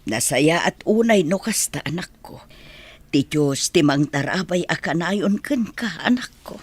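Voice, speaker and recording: A woman playing a caring, protective village mother in a radio drama, with a husky Ilocano-accented voice. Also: on the low side, lively intonation, a little slow. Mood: tender, accepting.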